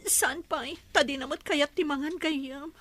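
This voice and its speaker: Bright-voiced worried Filipino mother, played by an actress. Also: high-pitched, unhurried, dry recording. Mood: anxious. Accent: Filipino, Ilocano. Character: worried, struggling young mother, humble and devoted. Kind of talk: radio drama acting.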